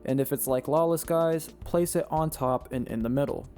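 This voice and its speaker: Slightly hoarse man reading game settings. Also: fairly high pitch, moderate pace, soft background music. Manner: steady instructional, reading settings one by one. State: slightly hoarse, losing his voice. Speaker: game tutorial creator. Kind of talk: step-by-step tutorial voice-over.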